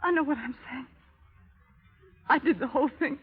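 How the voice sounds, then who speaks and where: A woman's voice: sits high, moderate pace, soft background music, natural voice. A woman playing an anxious, pleading young wife and mother in an old radio drama, urgent and melodramatic. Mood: tearful, exalted. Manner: melodramatic, urgent, breathlessly earnest. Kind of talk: 1930s radio drama acting. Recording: thin, lo-fi old radio recording.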